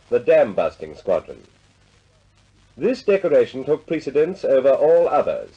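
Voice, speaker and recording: An actor playing a formal 1940s British radio newsreader, measured, with a Received Pronunciation accent. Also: male voice, mid-range voice, mellow, slightly rough. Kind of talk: 1940s radio news reading. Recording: dull lo-fi vintage radio sound.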